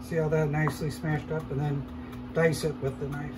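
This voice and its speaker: A man hosting a cooking show, calmly explaining each step as he cooks in a shop demo kitchen. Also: a little high, normal speed, very noisy. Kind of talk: casual cooking demonstration.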